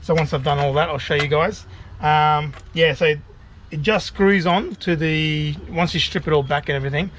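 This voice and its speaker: A man's voice: fairly high pitch, steady tone, normal speed, a bit bright. An Australian man talking casually and chattily, presenting a do-it-yourself how-to vlog. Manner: informal, chatty, mate-to-mate. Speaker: DIY video host. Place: outdoors beside a vehicle. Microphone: action camera. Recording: clear background noise, outdoor camera audio.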